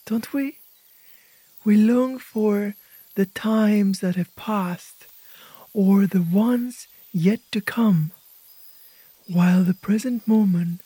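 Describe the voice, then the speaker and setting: Soft breathy lady doing guided meditation, really slow n gentle, mellow tone, close dry sound. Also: mid-range voice, dry recording. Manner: gentle, hushed, pausing between short phrases. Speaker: host of a guided imagery podcast.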